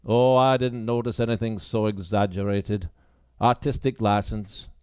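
A man's voice: lowish voice, steady tone, measured pace, darkish tone, clear, resonant tone, dry recording, studio quality, close to an everyday voice. A man voicing a brusque, dismissive wartime policeman in a mystery story reading. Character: dismissive, matter-of-fact policeman in wartime northern England.

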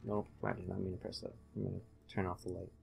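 A man's voice: sits low, flat delivery, fast talker, gravelly, darkish tone, soft background music. A man giving casual, laid-back, joking gameplay commentary to a friend, thinking aloud as he plays. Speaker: let's play host, playing the game.

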